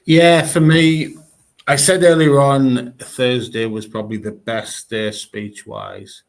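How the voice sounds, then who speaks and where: A man's voice: mid-range voice, steady tone, slow pace, vocal fry. A man on a live webinar giving an earnest, emphatic personal testimony. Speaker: webinar panelist.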